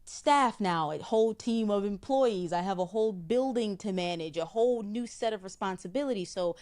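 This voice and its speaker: A middle-aged woman, a psychic guest in an interview, explaining in a measured way and often repeating a word while she finds the next. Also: medium pitch, a little mellow. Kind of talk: spiritual interview talk.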